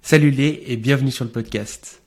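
A man's voice: medium pitch, normal speed. A man hosting a podcast, reading a punchy opening and then asking loose questions with fillers. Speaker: podcast host. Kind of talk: podcast intro and interview questions.

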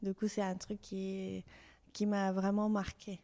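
Mexican woman, low creaky voice. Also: completely flat delivery, a little slow, warm tone. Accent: Mexican Spanish. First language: Spanish. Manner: hesitant, many fillers and restarts. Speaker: fashion designer, guest. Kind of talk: interview conversation.

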